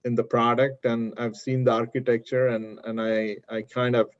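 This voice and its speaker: A man's voice: mid-range voice, little pitch movement, normal speed. A man hosting a business webinar interview, agreeable and hesitant, with many fillers, heard through dull lo-fi audio. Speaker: webinar host.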